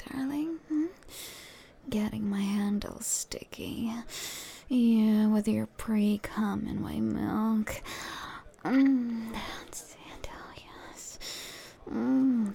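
A woman whispers close to the mic in an intimate ASMR roleplay. Her pace is very slow and unhurried, her tone mellow and dark, with a gravelly fry under the breath. Soft hums fill the gaps between her coaxing phrases. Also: medium pitch.

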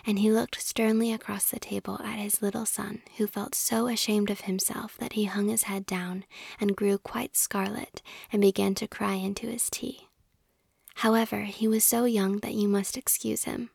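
A woman reading a classic fairy tale aloud in a steady whisper. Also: medium pitch, normal speed, creaky, husky, no echo, studio quality. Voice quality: whispering throughout. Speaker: storyteller.

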